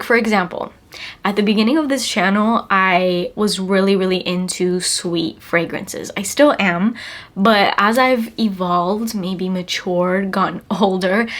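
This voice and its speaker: A young woman in her twenties with a congested cold voice, chatting casually to camera as a perfume reviewer, emphatic and repeating words for stress. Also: mid-range voice. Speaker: perfume reviewer, channel host. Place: small home room, indoors.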